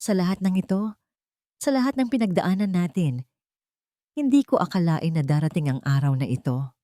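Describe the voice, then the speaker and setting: A woman voicing a devoted groom, solemn, steady and tender, in a radio drama romance story. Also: lowish voice, normal speed, no room sound, studio quality, natural voice.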